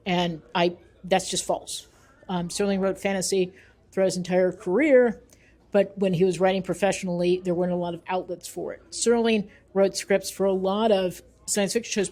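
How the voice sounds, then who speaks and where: A woman's voice: mid-range voice, dark tone. A middle-aged woman, an author and researcher, answering interview questions conversationally in an emphatic, opinionated, explanatory manner.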